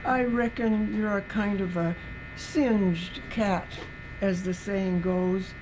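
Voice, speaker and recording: A woman's voice: medium pitch, fairly even intonation, takes it slowly, noisy background, close to an everyday voice. A woman voicing a shrewd, fond older aunt in folksy rural dialect, as in a storybook. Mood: grudgingly forgiving.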